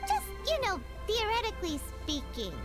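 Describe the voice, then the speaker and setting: A tiny floating fairy companion chirps in a very high, squeaky voice that bounces all over the place. She is blunt and teasing, a video game sidekick. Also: huge pitch swings, normal speed, over music, exaggerated voice.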